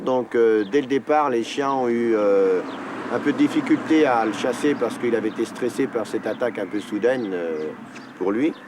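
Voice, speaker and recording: A man's voice: mid-range voice, background noise. A man recounting and explaining a hunt thoughtfully in a documentary interview, with many small fillers. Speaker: hunter.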